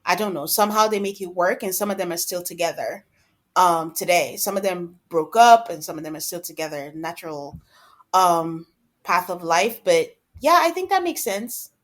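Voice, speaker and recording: A woman's voice: fairly low pitch, animated, moderate pace. A woman co-hosting a podcast, chatting casually about reality TV and acting out imagined quotes as she talks. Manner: conversational, acts out imagined quotes. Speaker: podcast co-host.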